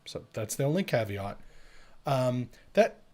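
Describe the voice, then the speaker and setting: A man's voice: mid-range voice, sing-song, unhurried, a little mellow. Man co-hosting a casual tech show. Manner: conversational, addresses listeners directly. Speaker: co-host of a tech update show. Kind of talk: casual live show talk, explaining software updates.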